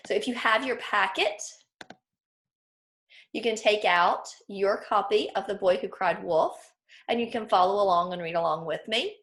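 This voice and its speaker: A woman teaching children through a read-aloud, explaining things clearly and putting questions to her listeners. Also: mid-range voice, normal speed, a bit bright.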